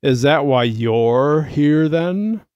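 A man's voice: on the high side, animated, extremely slow, a little mellow, smooth, no room sound, lo-fi sound. A man playing a stern old cardinal in an audio drama, grave, suspicious and zealous, with a sermonizing manner.

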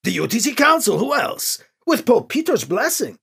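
A man's voice: very high-pitched, very animated, moderate pace, vocal fry, fairly bright tone, breathy edge, pitched above the performer's own voice, heavily acted. A man voicing a brusque, blustery male military commander in an audiobook, with a barking, mocking delivery. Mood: breezy, dismissive. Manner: barking, clipped. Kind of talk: audiobook character acting.

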